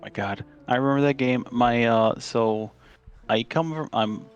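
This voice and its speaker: A man's voice: mid-range voice, unhurried, highs cut off, faint music behind. A man from Chile, a podcast guest, telling rambling stories in casual chat with lots of fillers and restarts.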